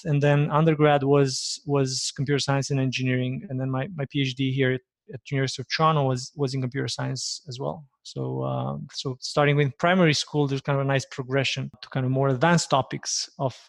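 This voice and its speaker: A man in his forties from Romania with a Romanian accent, a podcast guest answering in a measured way and repeating words as he thinks. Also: mid-range voice, level tone, creaky, no echo. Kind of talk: casual podcast interview.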